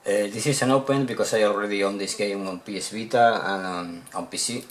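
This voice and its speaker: A man from Spain with a Spanish accent, a Spanish speaker talking in English in a casual unboxing vlog about his newest video games, often halting and repeating words as he searches for them. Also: lowish voice, slight background noise. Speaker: video game collector showing purchases.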